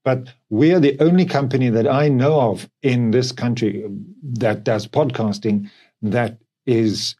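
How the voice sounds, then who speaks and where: South African man, middle-aged, mellow relaxed voice, business co-founder talking reflectively on a podcast. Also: mid-range voice, a little slow. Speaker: company co-founder and podcast producer.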